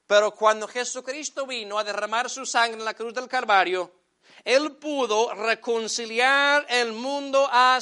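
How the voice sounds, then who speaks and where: A man whose first language is English preaching a sermon in Spanish, addressing the congregation as brothers and slowing down to stress key phrases. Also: very high-pitched, pushed and bright. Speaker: pastor.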